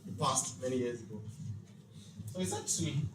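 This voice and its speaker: A man's voice: medium pitch, lively intonation, normal speed. Echoey, distant man teaching emphatically. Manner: preacherly, emphatic, uses rhetorical questions and acted-out dialogue. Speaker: speaker leading a financial literacy leadership session. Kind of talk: motivational faith-based teaching talk to a live group. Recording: echoey room, distant mic, clear background noise.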